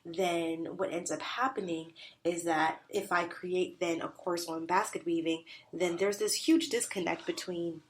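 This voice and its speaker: A woman's voice: fairly low pitch, fairly even intonation, a little slow. A woman hosting a solo business podcast, nasal and congested from a cold, coaching listeners with an emphatic, repetitive delivery.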